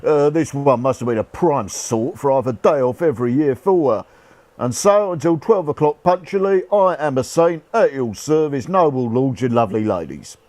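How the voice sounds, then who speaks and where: An actor playing a cheerful, irreverent rough soldier's ghost in a radio play, talking in jaunty, colloquial speech. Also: male voice, medium pitch, expressive, normal speed, a little mellow, no echo, natural voice. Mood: cheeky, breezy. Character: cheeky, cheerful old-soldier ghost, rough common man. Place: actor's home.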